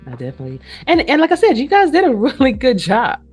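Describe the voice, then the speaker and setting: A woman's voice: high voice, wildly swooping pitch, fast, husky, faint music behind. A woman hosting a casual sports chat, talking informally with her guests. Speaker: host.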